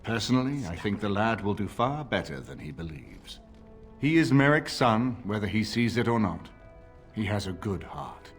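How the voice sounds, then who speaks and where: Casting: older fantasy nobleman, animated low voice, authoritative, formal, music underneath. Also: normal speed. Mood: reflective. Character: dignified older nobleman, commanding and thoughtful.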